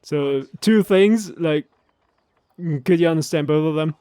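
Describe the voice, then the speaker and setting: Dry, higher-voiced man explaining. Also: a little slow, warm tone, clean tone, no echo. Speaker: host explaining game voice lines. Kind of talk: casual language commentary.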